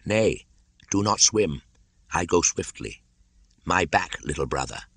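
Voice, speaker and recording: A man voicing a wise old male python in a storybook, speaking slowly and deliberately in archaic phrases. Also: deep voice, animated, a bit bright, slightly rough, no room sound, exaggerated voice. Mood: measured, stern.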